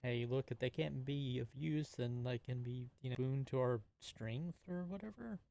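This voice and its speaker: A man's voice: medium pitch, normal speed, vocal fry, mellow, dry recording. A man playing a tabletop role-playing game, talking casually in character at the table.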